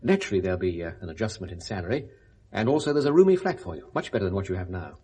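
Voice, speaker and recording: A middle-aged man with a British accent acting an urbane, persuasive businessman in a 1970s radio drama, speaking briskly and frankly. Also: deep voice, big pitch swings, dark tone, breathy edge, full character voice. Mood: brisk, genial. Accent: British English.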